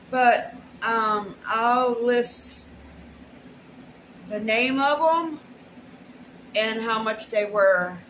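A woman's voice: fairly high pitch, a bit bright. A middle-aged woman chatting casually and colloquially in a folksy way while unboxing purchases in a room at home. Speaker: haul vlogger. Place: small room at home, indoors. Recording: noisy home camera recording.

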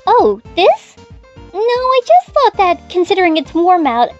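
Woman as a chatty high-pitched teen monster girl. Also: big pitch swings, a little slow, dull audio, soft background music, heavily acted. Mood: breezy. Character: chatty teenage schoolgirl fire monster. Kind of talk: comic fan dub acting.

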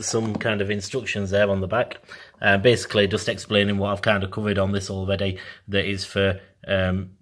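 A man casually demonstrating a product in his home garage, filming on his mobile phone and talking with frequent ums and uhs. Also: fairly low pitch. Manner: hesitant, many ums and uhs. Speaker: product reviewer. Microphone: mobile phone camera. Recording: echoey garage.

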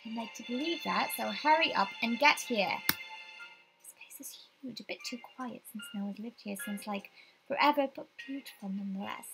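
Woman playing an impatient, high-voiced young realtor. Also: normal speed, creaky, over music, low-quality recording. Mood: wistful. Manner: animated, teasing, pushy. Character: impatient, teasing young female realtor on the phone.

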